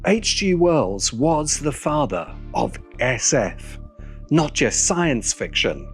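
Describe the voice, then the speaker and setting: A man reading scripted essay narration in a measured, formal manner. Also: on the high side, sing-song, a bit bright, faint music behind.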